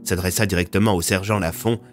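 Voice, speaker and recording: Homme narrateur, voix très grave. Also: male voice, low-pitched, fairly even intonation, fast, faint music behind. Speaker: narrator of an audio fiction. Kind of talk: scripted dramatic story narration.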